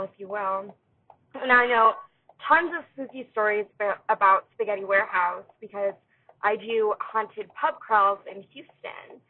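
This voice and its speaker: An American woman casually telling a ghost story as a listener contribution, on a phone-like recording. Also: mid-range voice. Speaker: listener storyteller, haunted tour guide.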